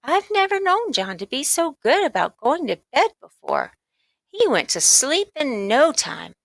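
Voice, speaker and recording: A woman's voice: high voice, very animated, a little slow, vocal fry, no echo, voice pushed up, heavily acted. A woman voicing a doting but exasperated mother in a children's storybook, with a sing-song, coaxing manner. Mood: pleasantly surprised.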